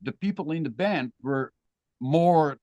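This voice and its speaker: A man's voice: fairly high pitch, measured pace, warm tone, no room sound, dull audio. A man with a Dutch accent, a guest musician talking casually and thoughtfully in an interview. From Netherlands. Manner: thoughtful, rambling with restarts.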